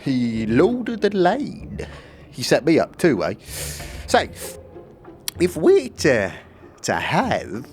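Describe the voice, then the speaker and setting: A shifty old trader wheedles his way through a fantasy audio drama. His voice sits fairly high and leaps all over the place. He drawls slowly and folksily, nudging with questioning tags, over soft music. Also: wildly swooping pitch, heavily acted. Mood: scheming. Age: older.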